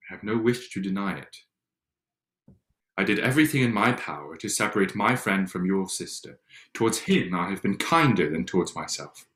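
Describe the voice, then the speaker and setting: An actor playing a proud, stiff Regency gentleman and awkward suitor in a staged reading, with formal, halting delivery. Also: deep voice, lively intonation. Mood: bitter, defiant. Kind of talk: staged reading of a period drama. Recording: echoey room over online call.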